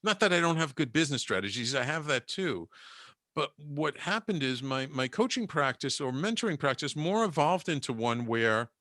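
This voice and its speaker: A man as an interview guest, telling his story in an animated way and acting out what people said. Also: fairly high pitch, normal speed, no room sound, clean studio sound. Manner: animated storyteller, acts out quoted dialogue.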